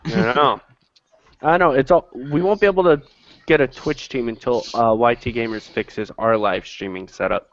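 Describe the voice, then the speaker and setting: A man's voice: mid-range voice, moderate pace, fairly bright tone, no echo. Man, podcast co-host, teasing and wry, dry close sound, dull lo-fi. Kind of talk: casual chat.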